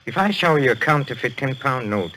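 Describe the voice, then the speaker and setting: An actor playing a suave, menacing spirit medium, theatrical and courtly, in an old radio thriller. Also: male voice, mid-range voice, lively intonation, brisk, lightly acted. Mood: mocking. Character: suave, menacing foreign male spirit medium, mockingly polite. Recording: noisy old radio drama recording.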